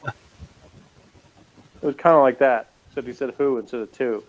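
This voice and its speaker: A man's voice: medium pitch, normal speed, poor audio. A man co-reviewing a TV episode in casual chat, sardonic and joking, with lots of fillers. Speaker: podcast co-reviewer. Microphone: remote call, off camera.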